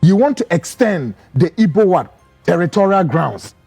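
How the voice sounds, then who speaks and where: A man's voice: high voice, sing-song, moderate pace, gravelly, music in the background. A Ghanaian-accented man giving opinionated commentary, emphatic and indignant, full of rhetorical questions.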